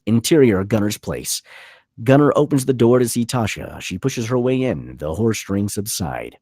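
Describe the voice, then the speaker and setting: A deep, husky man reads stage directions at a film table read. His scripted narration moves with very expressive pitch as he gives scene headings and action. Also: normal speed, dry recording, studio quality.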